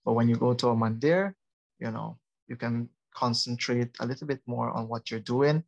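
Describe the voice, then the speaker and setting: A Guyanese man speaks as a guest priest on a discussion panel. His voice sits at an ordinary pitch, and his delivery is conversational, measured and earnest. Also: vocal fry, dull audio.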